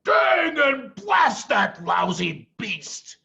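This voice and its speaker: A man's voice: very high-pitched, sing-song, extremely slow, creaky, sharp tone, raised voice, muffled recording, pitched above the performer's own voice, full character voice. A man voicing a furious, blustering storybook farmer who exclaims irascibly.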